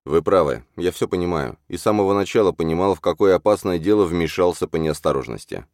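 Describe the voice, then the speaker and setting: A man voicing a courteous, weary male coachman and healer in an audiobook, measured, wry and stubbornly dutiful. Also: sits low, animated, darkish tone, dry recording, clean studio sound. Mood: resolute. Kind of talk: audiobook character voice.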